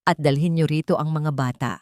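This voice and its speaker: A woman's voice: deep voice, fairly quick, a little mellow, no echo, close to an everyday voice. Cruel older matriarch of a storybook drama played by a female narrator, icy, imperious, dry recording. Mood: triumphant, commanding. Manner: cold, commanding. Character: cruel, wealthy older matriarch, cold and triumphant.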